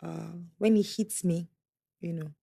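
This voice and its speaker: A young woman, speaking candidly and softly as an interview guest, her voice often trailing off quietly. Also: fairly low pitch, fairly even intonation, unhurried, vocal fry, slightly rough, dry recording, clean studio sound. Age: young adult. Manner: soft-spoken, trails off quietly, laughs between lines. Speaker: guest sharing testimony.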